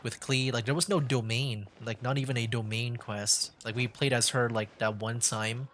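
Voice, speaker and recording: A man's voice: medium pitch, a bit bright, a little hiss or hum. A man giving casual, rambling commentary as he streams a video game, talking conversationally.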